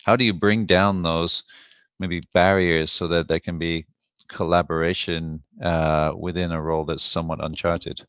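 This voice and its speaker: A man's voice: deep voice, level tone, moderate pace, a bit bright, clean tone, no room sound, highs cut off. A man from the UK hosting a podcast, asking questions and sharing his own experience in a thoughtful, hesitant way with "uh" fillers. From United Kingdom. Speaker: podcast host. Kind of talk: conversational interview.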